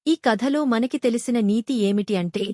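A woman narrates a children's moral tale from a script. Her voice sits a little high. The recording is very dry, with no room echo. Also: normal speed, warm tone.

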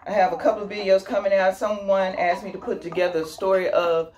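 A woman's voice: mid-range voice, little pitch movement, fast talker, muffled recording, crowd noise. A woman in her forties chatting upbeat and casually while unboxing fitness gear in her small bedroom, talking to a camera a few feet away. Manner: chatty and upbeat, with laughs and exclamations. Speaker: fitness YouTuber. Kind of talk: casual product unboxing vlog. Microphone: camera mic at a few feet. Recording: music playing under the voice. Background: music.

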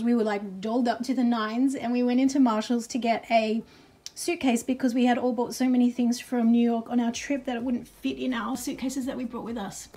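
A woman's voice: a little high, a little mellow. An Australian woman in her thirties talking casually and practically as a home lifestyle vlogger in a small bedroom, recorded on a nearby camera. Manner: upbeat, chatty, practical. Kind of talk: casual chatty vlog talk. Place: small bedroom by a wardrobe, indoors.

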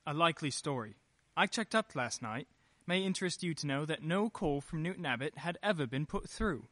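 A man acting a tense, testy gentleman husband in a radio play. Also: on the high side, expressive, brisk, dry recording. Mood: rattled, angry.

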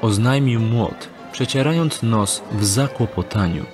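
Mid-pitched male narrator over music. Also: a little slow, a crowd in the background. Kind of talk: scripted narration of a fantasy audio drama.

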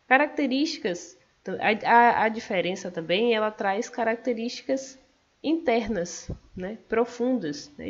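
A Brazilian woman student giving a class presentation over slides, explaining step by step with some hesitant fillers. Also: medium pitch. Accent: Brazilian Portuguese. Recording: dull lo-fi recording.

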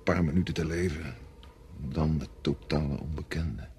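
An actor playing a grim, brooding officer who muses fatalistically in a wartime radio drama. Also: male voice, a bass voice, fairly even intonation, moderate pace, a little mellow, faint music behind. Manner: musing, fatalistic monologue.

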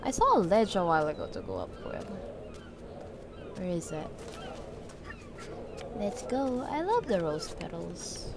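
A woman's voice: mid-range voice, huge pitch swings, unhurried, pauses often, background noise. A woman giving casual, chatty commentary as she plays a video game, thinking aloud and often trailing off mid-thought. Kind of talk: casual let's-play commentary. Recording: game music behind the voice. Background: video game music.

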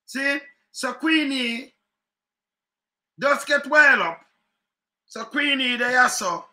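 A middle-aged man with a Jamaican accent giving casual, animated political commentary to his webcam from home, repeating his points. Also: extremely high pitch, slow. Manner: animated, chuckling, repeats phrases, gestures a lot. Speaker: online commentary host. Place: home room, indoors. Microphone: webcam at desk.

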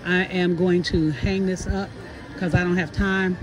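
A middle-aged woman, chatting casually and haltingly to her vlog viewers on a phone at arm's length. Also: lowish voice, level tone, music in the background. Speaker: vlogger. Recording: noisy handheld phone recording.

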